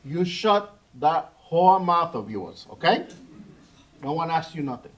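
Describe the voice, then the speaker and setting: A man's voice: a little high, very animated. Man acting a creepy, swooping little lecher. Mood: menacing, mocking. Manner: halting, searching for words, leering. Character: short creepy man, lecherous, bullying, crude bounty hunter. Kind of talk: screenplay table read acting. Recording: live table read.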